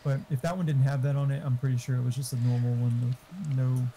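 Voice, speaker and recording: Male, dark relaxed tone, little pitch movement. Casual, sweary, rambling gamer chat over faint noise and typing. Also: fast talker, poor audio.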